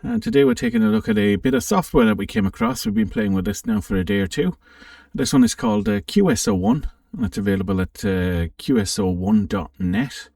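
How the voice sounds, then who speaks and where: A man hosting a casual, informal software walkthrough for an amateur radio channel, thinking aloud a little hesitantly as he goes. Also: sits high, huge pitch swings, a little fast, gravelly, hardly any pauses, clean studio sound. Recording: roomy, distant microphone.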